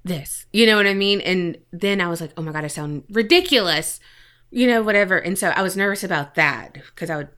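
Bright, forceful woman bantering bluntly. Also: on the low side, brisk, smooth. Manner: blunt, self-deprecating. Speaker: podcast co-host. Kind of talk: casual, profane banter.

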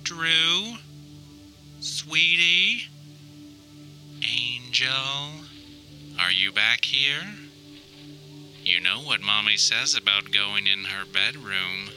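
A man's voice: mid-range voice, animated, very slow, pauses often, sharp tone, faint music behind, heavily acted, poor audio. A man voicing a mother calling for her little boy in a coaxing, sing-song way, in an audio drama. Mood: coaxing, suspicious.

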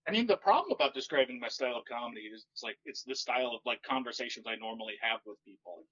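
Man, stand-up comedian, dry deadpan delivery, monotone, bright voice. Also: medium pitch, racing, slightly rough, dull audio. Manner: deadpan, wry storytelling. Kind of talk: casual podcast chat.

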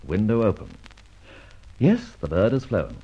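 A man playing a suave, flippant gentleman adventurer who teases wryly, in an old radio crime serial with dull sound. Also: fairly low pitch, sing-song, unhurried, slightly rough, exaggerated voice. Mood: sly, musing. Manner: flippant, teasing, debonair.

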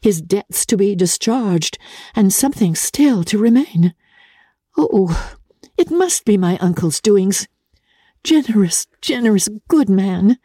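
A woman voicing a spirited, earnest young gentlewoman in a dramatic reading of a classic novel, with emphatic, formal period speech. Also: mid-range voice, husky, dry recording, clean studio sound, voice pushed up, exaggerated voice. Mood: astonished, distressed.

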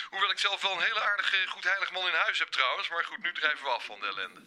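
Awkward banker, high, sharp voice. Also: male voice, fast. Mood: jovial, rambling. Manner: hesitant, digressive, officious. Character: awkward, rambling male bank official, falsely jovial. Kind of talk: live comedic radio play.

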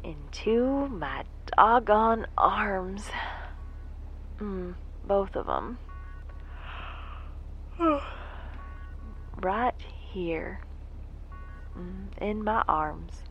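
A woman's voice: mid-range voice, lively intonation, extremely slow, pauses often, lo-fi sound, faint music behind. A woman acting a wistful, longing character in an audio drama monologue, with a folksy, drawling, musing manner. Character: wistful, longing woman yearning for loved ones.

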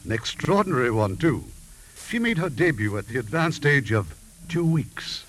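A man's voice: medium pitch, big pitch swings, moderate pace, fairly bright tone. A man hosting a scripted interview on a 1950s radio show. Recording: hissy old 1950s radio broadcast.

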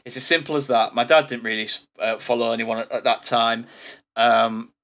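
A man, hosting a casual podcast chat in a relaxed, rambling way with frequent ums. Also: mid-range voice, moderate pace. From England. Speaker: podcast host.

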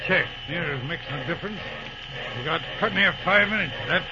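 An actor playing a plain-spoken freight conductor in old-time radio style. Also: male voice, fairly high pitch, brisk, close to an everyday voice. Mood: matter-of-fact. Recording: very noisy, muffled old-time radio recording.

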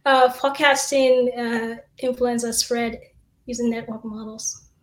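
A woman's voice: a little high, a little slow. Woman guest from Cameroon, echoey room. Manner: explanatory, steady, with fillers. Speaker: assistant professor, guest. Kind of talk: interview answers.

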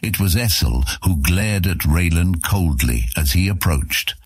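A man with a deep, husky voice reading scripted fantasy audiobook narration. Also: lively intonation, measured pace, no echo.

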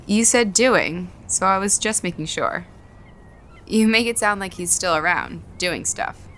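A woman's voice: lowish voice, animated, a little slow, a bit bright, a little hiss or hum. An actress playing a practical, slightly guarded woman in a naturalistic audio drama, speaking in a matter-of-fact, conversational way. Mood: reflective.

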